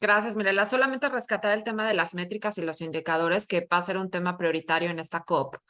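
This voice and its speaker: Woman, panel moderator, low pitch, calm conversational delivery. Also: quick pace.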